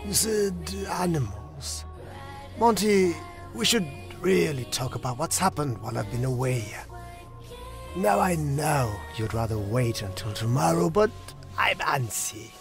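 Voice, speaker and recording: A man voicing an unhinged, theatrical clown crime boss in a motion comic, mock-polite and halting, with menace underneath. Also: a little high, expressive, over music. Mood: coy menace.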